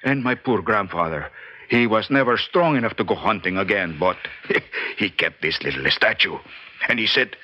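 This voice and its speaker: An actor playing an eager, greedy Central American guide in an old radio drama, with Spanish-accented English and a halting, ingratiating way of haggling. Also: male voice, fairly low pitch, expressive, pushed and bright, highs cut off. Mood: hushed, mysterious. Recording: band-limited old radio recording, faint noise.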